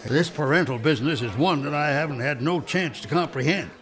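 An actor playing a folksy, good-natured Old West prospector with an American Western accent and a rustic drawl. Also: male voice, on the high side, fairly quick, a bit bright, natural voice. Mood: wry. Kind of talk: radio drama acting.

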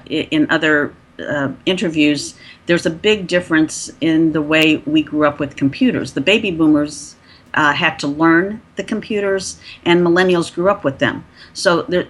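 A woman in her sixties, a podcast guest, telling her story in a chatty way with many pauses and restarts. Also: deep voice, a bit bright. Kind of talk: conversational interview.

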